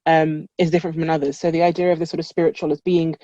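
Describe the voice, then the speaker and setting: A woman with a dark, mellow, slightly low voice asks long, careful academic questions, pausing on ums as she builds them. The sound is dry and dull, with little room and a lo-fi edge. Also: level tone, dry recording. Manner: measured, hesitant with um and restarts. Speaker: conference interviewer.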